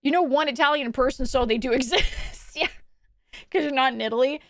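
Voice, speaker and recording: A woman's voice: high voice, fairly quick, a bit bright, no room sound, clean studio sound. A young adult woman streaming from home, chatting casually with her chat. She rambles, restarts her sentences often and laughs a lot.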